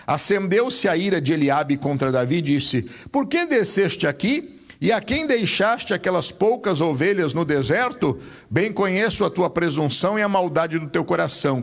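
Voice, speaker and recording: A man preaching a sermon in a slightly high, gravelly voice. His delivery swings up and down in sweeping rises and falls. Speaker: pastor.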